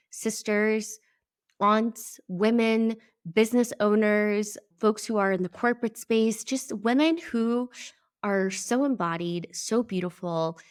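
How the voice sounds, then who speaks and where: A young adult woman from El Salvador, whose first language is Spanish, hosting her podcast in a chatty, emphatic monologue with frequent "like" and casual swearing. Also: medium pitch. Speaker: podcast host.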